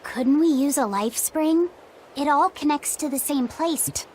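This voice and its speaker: A woman voicing a gentle, curious, timid young boy in a video game, questioning and soft-hearted. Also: high voice, a little slow, slight background noise.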